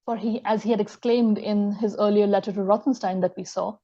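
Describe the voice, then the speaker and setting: A woman giving a scholarly lecture, reading from her text in a measured way and quoting sources aloud. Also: medium pitch, steady tone, mellow, lo-fi sound. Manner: measured, reads quotations aloud. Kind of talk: reading an academic talk.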